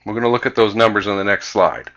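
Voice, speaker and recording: A man with a Canadian accent presenting a sales lesson as a coach, speaking emphatically and deliberately and repeating key phrases. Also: fairly low pitch, fairly bright tone, dull audio. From Vancouver, Canada. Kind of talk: instructional slide presentation.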